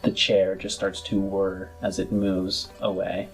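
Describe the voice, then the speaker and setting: A man with a fairly low voice works as game master, narrating a tabletop roleplaying scene very slowly. His delivery is halting and measured, with faint music underneath. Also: completely flat delivery.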